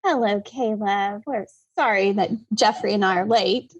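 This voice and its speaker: A woman's voice: medium pitch, a little slow, natural voice. A woman playing a polite, flustered mother in a radio drama, on a slightly dull recording.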